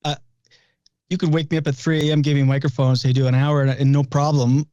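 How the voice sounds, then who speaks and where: Mellow-voiced comedian from New Brunswick. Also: male voice, on the high side, flat delivery, fast talker, no room sound, highs cut off. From New Brunswick, Canada. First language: French. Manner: loose storytelling, swears freely, many restarts. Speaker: guest comedian. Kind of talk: casual interview chat.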